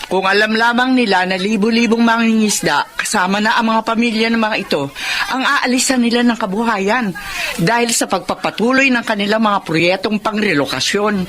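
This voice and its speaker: A woman's voice: a little high, moderate pace, natural voice. An actress as a plaintive Filipino fisherman's wife. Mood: sorrowful. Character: sad fisherman's wife lamenting the loss of her community. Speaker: radio drama actor. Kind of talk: Filipino radio drama acting. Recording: loud background noise.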